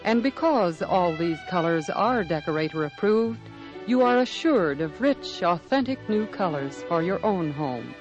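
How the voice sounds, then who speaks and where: A man's voice: sits high, expressive, measured pace, lo-fi sound, soft background music. A man with an American accent reading a scripted 1950s radio advertisement in a polished, salesmanlike manner. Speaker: commercial announcer.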